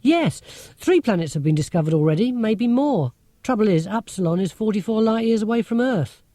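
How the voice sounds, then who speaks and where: A man's voice: sits high, animated, a little fast, mellow, dry recording, clean studio sound, voice pushed up. A man voicing a brainy, blunt schoolgirl in an audiobook, matter-of-fact and sceptical. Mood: knowing, sceptical.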